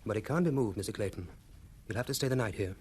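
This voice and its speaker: A man's voice: fairly low pitch, fast talker, darkish tone, slightly rough. A man playing a firm, authoritative doctor in a radio drama. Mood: firm, brisk.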